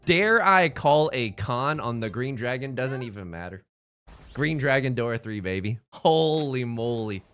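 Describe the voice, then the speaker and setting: A man from China hosting a let's play, riffing through his game with running jokes and internet slang. His voice sits at an ordinary middle pitch but swings widely as he talks. Quiet game music plays underneath. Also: lively intonation, measured pace. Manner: jokey, rambling, playful internet slang. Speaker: gaming channel host.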